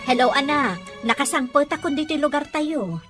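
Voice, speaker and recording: A woman's voice: high-pitched, lively intonation, moderate pace, music in the background. A woman with an Ilocano accent playing a worried mother in an audio drama. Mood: curious. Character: worried Filipino mother speaking with her child. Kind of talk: radio drama acting.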